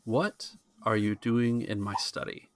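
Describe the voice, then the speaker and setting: A stoic master of a grand gallery, played by a man at a game table, questions people curtly. His voice is fairly low and dismissive, recorded dry. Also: fairly even intonation, a little slow, no echo. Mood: suspicious.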